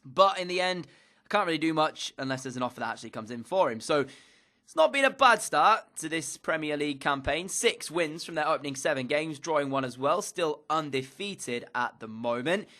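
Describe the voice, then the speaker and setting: Bright, upbeat young man with a stuffy nose. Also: on the high side, lively intonation, brisk. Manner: upbeat, commentator-like phrasing with frequent fillers. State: slightly blocked nose from a cold. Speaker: gaming YouTuber. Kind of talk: recap commentary of a football career mode.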